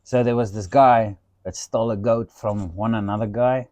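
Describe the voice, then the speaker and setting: A man with a strong Afrikaans accent, speaking in a dark, mellow voice. He tells stories in an animated, unfiltered way, peppered with swearing and "dude". The sound is dry and close. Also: fairly low pitch, normal speed, dry recording. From South Africa. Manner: animated, swearing storyteller who says "dude" a lot. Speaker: podcast host. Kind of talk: casual chat.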